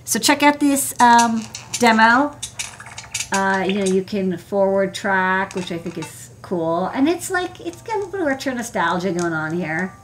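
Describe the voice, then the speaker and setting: A woman's voice: mid-range voice, lively intonation, unhurried, a little hiss or hum. An American woman, an electronics engineer, giving an informal, rambling explanation with many asides on a casual livestream. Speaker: electronics engineer presenting her work. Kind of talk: casual livestream tech talk. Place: indoor desk workspace.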